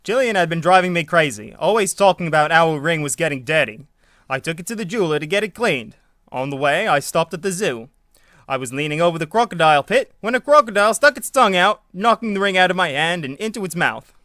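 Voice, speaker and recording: A man's voice: on the high side, expressive, fast talker, bright, forceful tone, no room sound, clean studio sound. An actor playing a fussy, literal-minded young fiancé who is defensive about his word choices. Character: pedantic young man, the client's fiancé.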